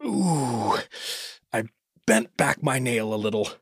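Hapless male everyman for audio adventure, man actor: husky voice, lively jumping pitch, folksy, polite, flustered. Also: takes it slowly, no echo, clean studio sound, heavily acted. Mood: pained, wimpy. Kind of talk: fantasy audio drama acting.

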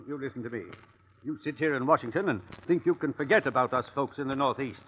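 An actor playing a hot-headed, belligerent citizen who argues bluntly and indignantly in an old-time radio drama. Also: male voice, mid-range voice, quick pace, a little hiss or hum, natural voice. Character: hot-headed, belligerent man from Maine demanding war. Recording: dull, lo-fi old radio recording.

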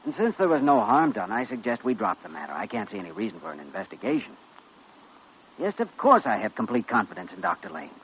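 A man acting an earnest, principled young doctor in an old-time radio drama, measured, firm and sincere. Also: on the high side, huge pitch swings, gravelly, heavily acted. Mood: firm, courteous. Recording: muffled, noisy vintage radio recording.

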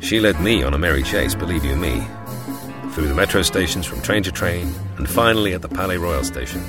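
A man's voice: sits low, expressive, a little fast. An actor playing a wry, persistent man who narrates his own chase in a radio drama. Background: music.

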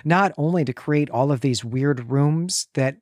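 A man's voice: on the high side, a little slow, a little mellow, no room sound, clean studio sound. A man co-hosting a podcast, talking through a book casually in rambling, conversational sentences. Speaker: podcast co-host.

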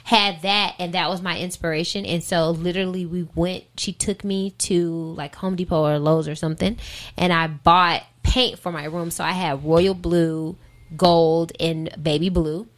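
A woman's voice: fairly low pitch, steady tone, normal speed. An American woman speaking casually as an interview guest, informal and reflective, thinking aloud as she answers. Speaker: interview guest, singer and rapper.

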